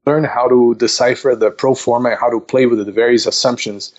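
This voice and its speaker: A man explaining things as a podcast guest in a methodical, polite, somewhat halting way. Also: mid-range voice, steady tone, highs cut off. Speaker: investment counselor, podcast guest. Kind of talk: casual explanatory podcast talk.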